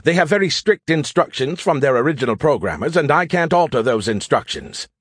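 A man voicing an arrogant, excitable alien artificial intelligence in an audiobook, with a sarcastic, condescending, theatrical manner. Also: fairly high pitch, a little fast, breathy edge, no echo, clean studio sound, pitched above the performer's own voice. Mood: mocking, exasperated. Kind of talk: audiobook character voice.

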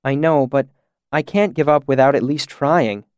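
A man's voice: mid-range voice, moderate pace, darkish tone, clean tone, no echo, lightly acted. Man voicing wry, self-possessed young woman, dry sound. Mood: weary.

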